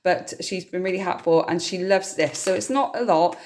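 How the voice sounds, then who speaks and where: A woman, a little hoarse and croaky from a cough, chatting through her grocery haul in a homely, rambling way. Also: lowish voice, moderate pace, a little mellow, a little hiss or hum. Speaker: vlogger. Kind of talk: casual grocery haul vlog.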